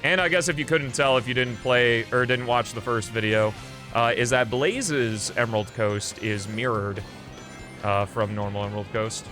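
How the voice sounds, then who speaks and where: A man streams a video game and talks through it casually. His voice sits at a middle pitch, and he rambles in an offhand way, thinking aloud. Faint game music plays behind him. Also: moderate pace. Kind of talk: casual live gameplay commentary.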